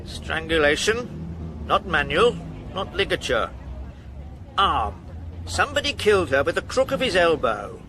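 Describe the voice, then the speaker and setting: An actor playing a brisk, impatient police surgeon in a radio crime drama, brusque and muttering. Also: male voice, on the high side, sing-song. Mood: musing, matter-of-fact. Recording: faint outdoor traffic noise.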